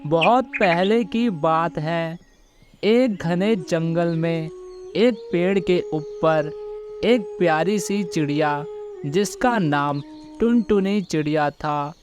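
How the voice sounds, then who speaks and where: A man's voice: on the high side, takes it slowly. A man narrating a simple moral story for children as the storyteller.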